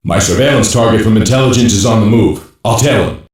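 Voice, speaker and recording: Steady male cyber operative by an actor, echoey. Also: on the low side, brisk, close to an everyday voice. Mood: steady, businesslike. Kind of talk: dubbed anime game dialogue, technical report. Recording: very echoey, distant from the mic.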